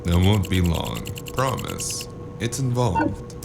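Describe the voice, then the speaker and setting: Actor as a very deep-voiced, jaded noir detective. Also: male voice, big pitch swings, slow pace, over loud music, exaggerated voice. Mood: casual, flippant. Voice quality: deep, creaky. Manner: wry, world-weary, casual. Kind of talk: noir audio drama with first-person narration.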